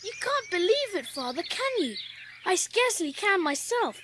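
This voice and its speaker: Woman acting an exuberant, high-voiced English boy. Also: sing-song, moderate pace, noisy background. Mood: joyful, breathless. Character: exuberant, well-bred English boy, proud and eager. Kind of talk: dramatised audiobook acting.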